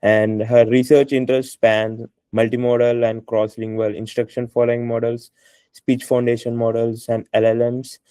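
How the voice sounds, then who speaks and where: Man, host, flat delivery, dark dry voice. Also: mid-range voice, normal speed, clear, resonant tone, dry recording. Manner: relaxed, with fillers. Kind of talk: introducing a guest speaker.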